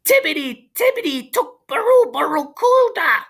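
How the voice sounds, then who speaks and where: A man's voice: very high-pitched, big pitch swings, unhurried, vocal fry, fairly bright tone, voice pushed up, full character voice. A man voicing a tiny, wise baby girl from a storybook, babbling in singsong nonsense baby talk. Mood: babbling, playful.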